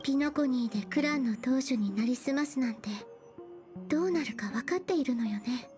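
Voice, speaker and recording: Actress as playful female trickster for an anime game: high, fast, sly singsong taunts. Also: a little mellow, close to an everyday voice. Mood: gleeful taunting. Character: mischievous, teasing young female trickster in a mask. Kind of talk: Japanese anime-style game acting. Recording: game music under the voice.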